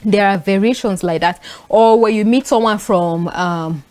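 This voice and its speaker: A young woman from northern Nigeria with a Nigerian accent, speaking as a talk show panelist and telling stories in an animated way, with frequent fillers. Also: mid-range voice, a little slow. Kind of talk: casual panel discussion.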